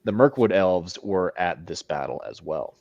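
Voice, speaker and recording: A man with a very deep voice co-hosts a casual book discussion. He talks with passion and playful mock outrage, and his voice is recorded dry and close. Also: expressive, normal speed, creaky, darkish tone, no room sound, lo-fi sound. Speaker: co-host.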